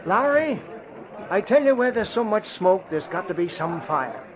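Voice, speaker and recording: A man's voice: high-pitched, sing-song, normal speed, crowd noise. An actor playing a brash, suspicious newspaperman, emphatic and slangy, in 1940s radio style. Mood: insistent, suspicious. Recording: dull old radio recording.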